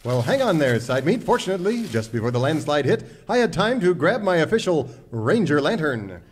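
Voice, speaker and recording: An actor playing a pompous, upright cowboy ranger in a radio serial parody, speaking grandly and formally. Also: male voice, on the high side, big pitch swings, fairly quick, slightly rough. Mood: confident, grand. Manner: grand, formal, self-satisfied.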